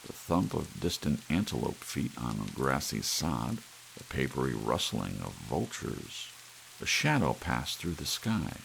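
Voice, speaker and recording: Very deep, gravelly male ASMR narrator. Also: rough recording. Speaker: audiobook narrator. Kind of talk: ASMR scripted story narration. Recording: faint hiss and soft rain. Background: soft rain.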